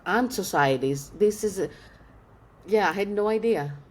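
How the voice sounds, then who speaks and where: A woman's voice: medium pitch, animated, moderate pace. A woman from Greece, an English speaker, answering interview questions thoughtfully and searching for words. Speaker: filmmaker guest.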